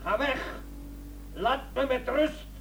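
An actor playing an overwrought, desperate husband in a Dutch radio play, agitated and threatening with a strained voice. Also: male voice, very high voice, takes it slowly, vocal fry, a bit bright, a little hiss or hum, full character voice.

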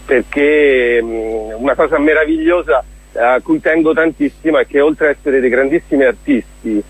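A man giving a casual radio interview as a guest, speaking in an enthusiastic, expressive way. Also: mid-range voice, moderate pace, breathy edge, no echo, rough recording. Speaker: interview guest, theatre director.

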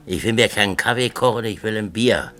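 An actor playing a cheeky, stubborn old working man in a radio play, gruff and colloquial. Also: on the low side, fairly quick, a bit bright, lightly acted. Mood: grumpy, quarrelsome. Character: grumbling, cheeky old working-class man, railway track walker. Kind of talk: naturalistic radio play acting.

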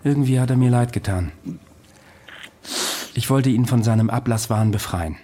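An actor playing a self-assured man recounting his past in a radio drama, matter-of-fact and measured. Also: medium pitch, dark tone, close to an everyday voice. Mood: calm, matter-of-fact. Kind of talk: radio drama dialogue, storytelling.

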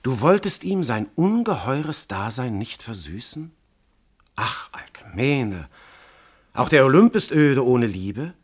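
An actor playing a god disguised as a mortal husband, ardent and commanding, in lofty classical verse for radio drama. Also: male voice, medium pitch, sing-song, takes it slowly, slightly rough, full character voice. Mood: yearning, grand. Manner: impassioned, lofty, theatrical declamation.

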